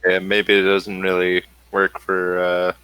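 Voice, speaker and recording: Male game master, deep and bright-toned, halting improvised narration, dry recording. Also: monotone, smooth, no room sound, low-quality recording.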